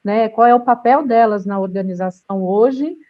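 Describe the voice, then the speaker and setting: A woman's voice: fairly high pitch, normal speed. Moderator, middle-aged woman, upbeat, leads the discussion, muffled recording. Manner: enthusiastic, warm framing of guests. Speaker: panel moderator. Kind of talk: moderating a panel.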